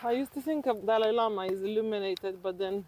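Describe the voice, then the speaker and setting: A woman's voice: mid-range voice, fast, a little mellow, low-quality recording. A middle-aged woman from Slovenia, chatting casually and reflectively as an interview guest while walking outdoors. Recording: outdoor walk with clear background noise.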